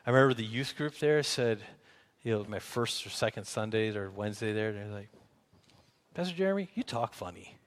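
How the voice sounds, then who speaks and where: A man with a Midwestern American accent preaching an informal sermon as a pastor, haltingly rephrasing himself and posing questions to listeners. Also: lowish voice, expressive. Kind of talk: conversational expository sermon.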